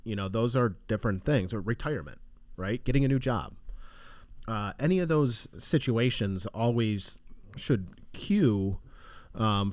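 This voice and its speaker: A man co-hosting a finance podcast, raspy from allergies, explaining estate planning in a loose, conversational way. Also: on the low side, a little mellow, dry recording. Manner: loose, conversational, restarts often. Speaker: financial advisor co-host. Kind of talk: casual podcast chat.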